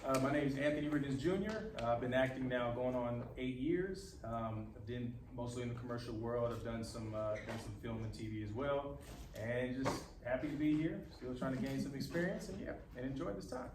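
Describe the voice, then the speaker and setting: A man's voice: mid-range voice, fairly quick. A man who works as an actor, casually introducing himself. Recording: noisy echoey room, distant mic.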